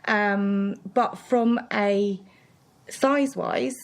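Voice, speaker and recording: A woman's voice: mid-range voice, fairly even intonation, extremely slow. A woman in her thirties from central Switzerland, a guest chatting in an interview with fillers and restarts. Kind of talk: casual interview chat.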